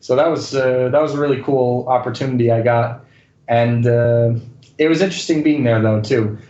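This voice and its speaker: A man's voice: medium pitch, steady tone, moderate pace, mellow. A man speaking casually as an interview guest, with a hesitant delivery full of fillers. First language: English and Spanish. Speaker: guest, correspondent and news anchor. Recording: dull lo-fi roomy sound.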